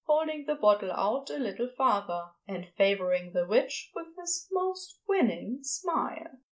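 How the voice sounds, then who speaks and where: A woman serves as narrator for a fantasy story. Her voice sits fairly high as she reads scripted prose aloud between the lines of dialogue. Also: big pitch swings, a little slow, low-quality recording.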